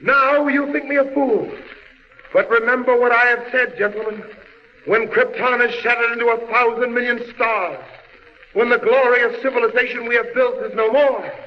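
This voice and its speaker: Grave, high-voiced prophet of doom, old radio. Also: male voice, normal speed. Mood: impassioned, defiant. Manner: grave, oratorical. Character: impassioned alien scientist warning of doom, husband and father. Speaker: radio drama actor. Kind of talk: 1940s radio drama acting. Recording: muffled, hissy old radio recording, long reverb.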